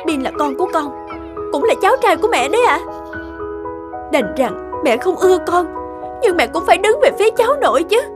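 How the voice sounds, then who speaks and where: A woman's voice: very high-pitched, very animated, fairly quick, long pauses, over music, pitched above the performer's own voice. A woman voicing a hurt young wife in a cartoon, speaking in an accusing, emotional way. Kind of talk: cartoon dub acting.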